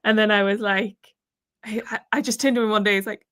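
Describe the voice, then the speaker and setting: A woman's voice: mid-range voice, steady tone, brisk, a bit bright, smooth, no echo, clean studio sound. A woman from Wales with an accent mixing Welsh, northern English and Northern Irish, chatting casually and reading viewer comments on a livestream, with plenty of fillers and laughs. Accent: mixed Welsh, northern English and Northern Irish. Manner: chatty, giggly, lots of fillers. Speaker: livestream co-host.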